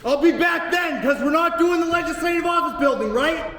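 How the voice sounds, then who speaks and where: Defendant type, a very high-pitched man, defiant and foul-mouthed, shouting in a courtroom with a long, boomy reverb. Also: a little fast. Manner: angry, profane, shouting. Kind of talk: courtroom outburst.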